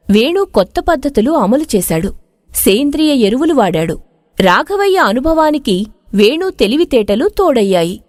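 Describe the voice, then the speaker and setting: A woman reading an expressive scripted moral story as its narrator. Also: on the high side, no room sound, studio quality.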